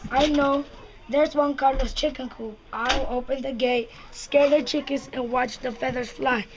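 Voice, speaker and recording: Mischievous scheming coyote played by a young girl, unhurried, high voice, puppet-show read over clear noise. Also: fairly even intonation. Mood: sly. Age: child, about ten. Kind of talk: reader's theater puppet show. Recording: noisy recording with clicks.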